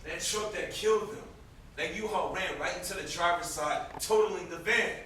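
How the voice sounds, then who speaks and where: A man's voice: high voice, normal speed, bright, forceful tone. Echoey, distant man acting a bitter young man. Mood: agitated. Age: young adult. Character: bitter, guilt-ridden young man mourning his sisters. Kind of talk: amateur stage drama acting. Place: indoor stage, recorded from a distance. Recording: very echoey, noisy stage recording from far off.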